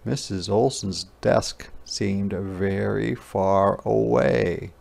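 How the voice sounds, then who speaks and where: Slow-paced male storybook narrator, slightly creaky. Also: lowish voice. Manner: deliberate, expressive storytelling. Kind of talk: children's read-aloud narration.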